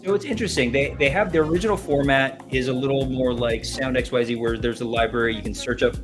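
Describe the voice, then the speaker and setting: A man's voice: medium pitch, fast. A man co-hosting an online music show in casual chat, rambling through explanations with many restarts and chuckles. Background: music playing.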